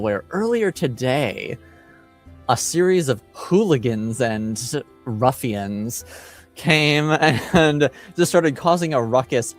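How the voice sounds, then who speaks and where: A male game master improvises narration for a tabletop game. His delivery is slow and halting, with drawn-out phrasing. Music plays faintly behind him. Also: medium pitch.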